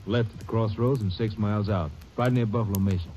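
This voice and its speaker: Actor as small-town doctor, helpful, low mellow voice, old-time radio. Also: male voice, fast, lo-fi sound, a little hiss or hum, close to an everyday voice. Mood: obliging. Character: country doctor, helpful and matter-of-fact. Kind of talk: 1950s radio drama acting.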